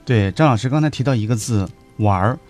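A man's voice: medium pitch, a little fast, a little mellow, faint music behind. A man hosting a talk show in a conversational style, summing up and expanding on his guest's points. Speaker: radio show host.

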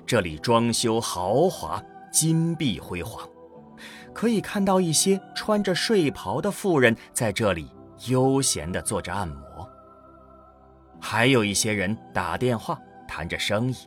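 A male narrator tells a science fiction audio drama. He takes his time, with a lively, varied melody at a medium pitch, over faint music. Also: measured pace.

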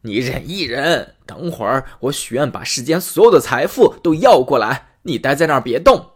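A man's voice: medium pitch, big pitch swings, moderate pace, slightly rough. A man voicing a greedy rich man who frets and wheedles in a storybook tale. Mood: grumbling. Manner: wheedling, fretful.

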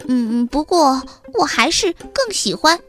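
A woman voicing a friendly, excitable city mouse in a children's story, hesitating and repeating words eagerly. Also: sits high, a little slow, pitched above the performer's own voice, heavily acted. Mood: grateful, cheerful.

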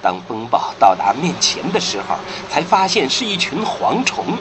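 A man's voice: on the high side, very animated, normal speed, background noise. A man giving scripted narration for a radio drama in a theatrical storytelling manner. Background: music.

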